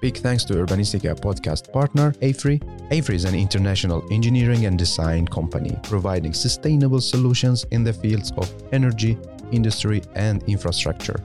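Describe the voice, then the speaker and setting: A man reads the upbeat scripted opening of his podcast. His voice is very low in pitch, and clear guitar music plays behind him. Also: brisk. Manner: upbeat, read announcement. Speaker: podcast host.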